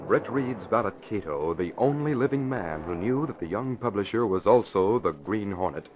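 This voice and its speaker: A man's voice: fairly low pitch, expressive, moderate pace, natural voice. A man narrating a 1940s radio mystery in a scripted, dramatic announcer style. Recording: dull vintage radio broadcast.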